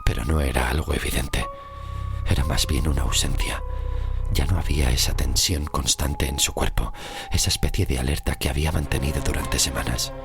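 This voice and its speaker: A man with a Spain Spanish accent narrating a scripted horror story in a slow, grave, measured way. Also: rumbling low, steady tone, creaky. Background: music.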